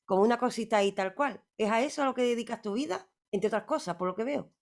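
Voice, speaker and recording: A woman's voice: fairly high pitch, expressive, quick pace, a little mellow. Chatty woman host, rambling. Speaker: interviewer host. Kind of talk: casual interview talk.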